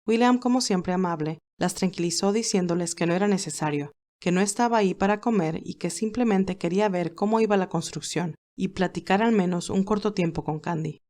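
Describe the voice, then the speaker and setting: A woman's voice: fairly low pitch, fairly quick, hardly any pauses, darkish tone. A woman narrating her own fan fiction in a scripted storytelling style.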